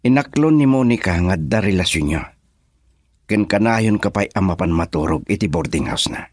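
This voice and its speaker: A scheming, cold man in a radio play, voiced by a man who taunts his rival in a low voice. The sound is dry and close to the mic. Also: lively intonation, normal speed, darkish tone, dry recording. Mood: taunting. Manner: taunting, menacing. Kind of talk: radio drama acting.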